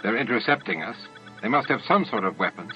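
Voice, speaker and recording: A man voicing a tense, suspicious military commander in a science fiction audiobook, gruff and demanding. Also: fairly low pitch, animated, a little fast, over music, lightly acted. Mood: puzzled, worried. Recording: dull lo-fi old audiobook tape.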